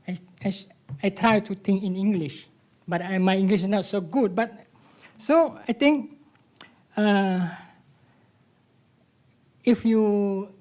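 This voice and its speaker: Slow, halting man. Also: high voice, darkish tone. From Thailand. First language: Malay. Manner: halting, broken English with many restarts. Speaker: panelist writer. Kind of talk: panel discussion.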